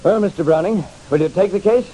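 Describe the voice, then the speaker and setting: An actor playing a sceptical, insistent estate lawyer with formal, emphatic delivery in an old-time radio drama. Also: male voice, high voice, quick pace, warm tone, slight background noise, close to an everyday voice, rough recording.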